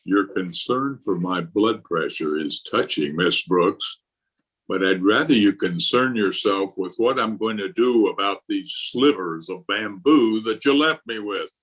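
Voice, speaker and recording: A man's voice: fairly low pitch, measured pace, fairly bright tone, low-quality recording. A man playing an exasperated, blustery older school principal in an old-time radio comedy. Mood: sarcastic indignation. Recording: remote video-call recording.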